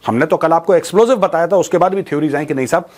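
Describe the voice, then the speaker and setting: A man's voice: fairly high pitch, racing, darkish tone. A man giving an informal, opinionated news monologue, mocking and colloquial, repeating phrases for emphasis and mixing in English. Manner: mocking, colloquial, rhetorical questions, mixes in English. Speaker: host of a news commentary show. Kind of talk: opinionated informal monologue to viewers on current affairs.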